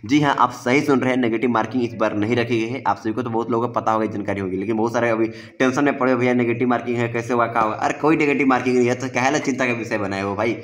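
A man's voice: mid-range voice, fairly even intonation, racing, hardly any pauses. A man giving an informal explainer, reassuring and repetitive as he addresses viewers directly. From India. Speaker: exam-prep video presenter.

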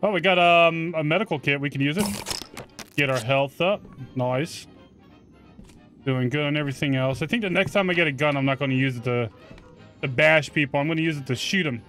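A man's voice: a little high, a little fast, a bit bright, soft background music. A man giving casual, informal video game commentary with slang and mild swearing. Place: close mic indoors, game music behind.

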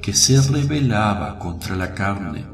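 A male actor plays a gentle, merciful holy teacher in a devotional radio play. His low-pitched voice moves slowly and solemnly, with tenderness in the measured delivery. The sound is roomy and echoing, with music underneath. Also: lively intonation. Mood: tender, reassuring. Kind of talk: devotional radio drama acting. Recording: roomy echo over music.

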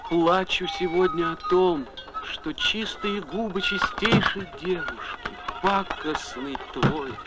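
An actor playing a bitter, wounded young lover, reciting verse in an impassioned, declamatory way for an animated film. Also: male voice, high voice, slow, heavy background noise. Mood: bitter grief. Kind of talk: dramatic recitation of ancient verse.

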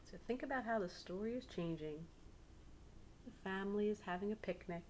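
A middle-aged woman reads aloud expressively like a teacher, pausing to prompt young listeners. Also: mid-range voice, a little mellow. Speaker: teacher reading a picture book aloud to pupils. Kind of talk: read-aloud storytelling with questions to children. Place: small living room indoors. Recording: clearly noisy home webcam recording.

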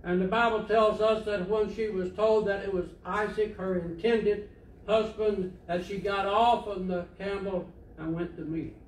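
An older man delivers a sermon from the pulpit, telling stories in a plain, conversational way. His voice sits fairly high for a man. It is picked up by a distant camera and echoes around the church sanctuary. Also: steady tone, moderate pace. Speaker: preacher. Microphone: far camera. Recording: echoey church from far off, faint hiss.

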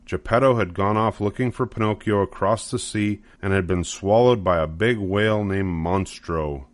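An older man reads a children's story as its narrator. His voice sits fairly low and is recorded close and dry, with scripted storybook phrasing. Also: moderate pace, no room sound.

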